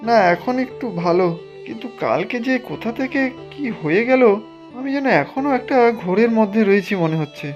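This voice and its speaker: A man's voice: high-pitched, expressive, fairly quick, soft background music. A man voicing an ambitious, greedy village official in a cartoon, talking in a persuasive, insistent way. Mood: subdued, remorseful. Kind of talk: Bengali cartoon dialogue.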